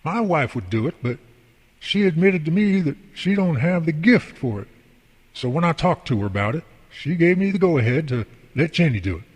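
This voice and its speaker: An actor playing a folksy, devout boss and mentor in an amateur radio drama, explaining things earnestly and at length. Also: male voice, on the high side, expressive, moderate pace, husky. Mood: warm, appreciative. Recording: long hall reverb.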